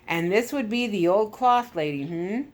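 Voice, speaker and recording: A smug Soviet KGB skipper , as a woman reader puts him on, the talkative male villain of a storybook adventure. He gloats in a deliberate, theatrical way, pleased with himself and keen to explain. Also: medium pitch, expressive, lightly acted. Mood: gloating. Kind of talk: storybook read-aloud villain.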